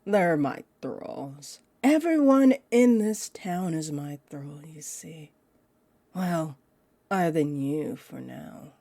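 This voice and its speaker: A woman's voice: low voice, lively intonation, mellow, no room sound, heavily acted. An actress playing a teasing, possessive female vampire in an audio roleplay, condescending and confident, speaking straight to the listener. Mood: menacing, condescending. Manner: smug, taunting, unhurried.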